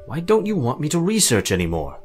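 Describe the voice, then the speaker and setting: A man acting a formal, scholarly, earnest mage in a visual novel, with precise, measured wording. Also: fairly high pitch, huge pitch swings, soft background music. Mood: flustered.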